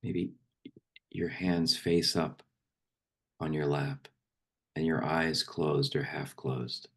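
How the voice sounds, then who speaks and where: A man speaking as a meditation guide and poetry reader, slow and unhurried, with long pauses between phrases. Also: very deep voice, fairly even intonation, gravelly, breathy edge.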